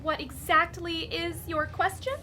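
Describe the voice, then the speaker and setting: A woman's voice: very high voice, fairly even intonation, measured pace, pushed and bright, a little hiss or hum, exaggerated voice. A woman playing a prim suburban association president with officious politeness, in a radio comedy. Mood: politely strained. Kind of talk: naturalistic radio comedy acting.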